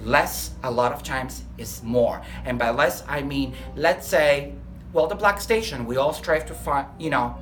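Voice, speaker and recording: A man's voice: medium pitch, unhurried, fairly bright tone, soft background music. A man with a Bulgarian accent, a restaurant lead trainer answering interview questions earnestly and enthusiastically, sometimes correcting himself mid-sentence. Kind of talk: workplace interview.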